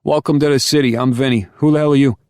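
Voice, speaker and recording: A man's voice: medium pitch, steady tone, fast talker, clear, resonant tone, dry recording, studio quality, pitched above the performer's own voice, natural voice. A man voicing a big, boisterous New York Italian man with a thick New York Italian accent and a brash, swearing way of talking. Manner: loud, brash, swears freely.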